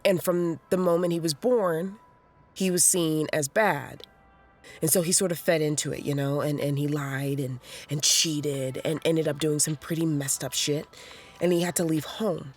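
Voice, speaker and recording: A young American woman playing a restless, self-searching young woman in an audio drama, halting and conversational. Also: low voice, fairly even intonation, faint music behind. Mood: earnest, searching. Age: young adult. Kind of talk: naturalistic audio drama acting.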